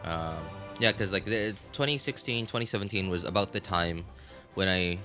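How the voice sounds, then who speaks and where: A man livestreaming games in casual chat, talking in a halting, rambling way and often repeating himself. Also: deep voice. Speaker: live game streamer. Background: music.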